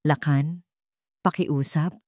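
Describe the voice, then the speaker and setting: A woman's voice: low voice, fairly even intonation, slow pace, darkish tone, no room sound, studio quality. A woman voicing a frightened, abused young wife in an audio story, timid and pleading. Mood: tearful pleading.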